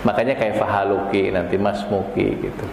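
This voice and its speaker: A man's voice: on the low side, level tone, brisk, a little mellow. A man with a Javanese accent teaching an informal language class, colloquial and jokey, acting out short example dialogues. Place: large echoey room, teaching a live class. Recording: very echoey reverberant hall, clear background noise.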